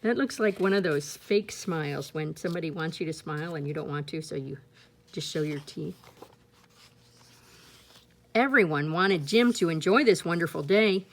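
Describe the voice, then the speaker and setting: A woman's voice: deep voice, moderate pace. A woman reading a children's storybook aloud expressively, with casual asides spoken directly to young listeners. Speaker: storybook reader.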